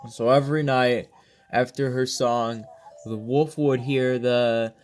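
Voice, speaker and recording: Gaming host, slow halting read-aloud, mid pitch, casual asides, faint music. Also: fairly even intonation, a little mellow. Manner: halting, often stumbles and rereads words. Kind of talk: reading game story text aloud with casual reactions.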